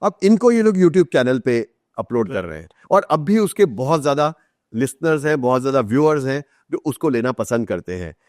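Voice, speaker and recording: A man's voice: medium pitch, sing-song, moderate pace, a little mellow, no echo. Articulate Indian man, dry close sound. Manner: articulate, emphatic repetition, Hindi mixed with English terms. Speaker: author guest. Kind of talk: podcast interview, explanatory talk.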